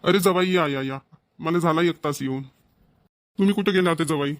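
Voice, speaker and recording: A man's voice: a little high, normal speed, close to an everyday voice. An actor playing a polite, obliging middle-aged father-in-law in a cartoon family comedy, with a Varhadi Marathi accent. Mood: hospitable. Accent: Varhadi Marathi, Vidarbha.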